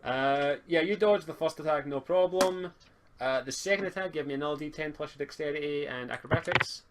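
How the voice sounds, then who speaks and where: A man's voice: on the high side, a little fast. A man acting as game master, narrating a tabletop roleplaying game in an informal, improvised way. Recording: noisy home mic with clicks.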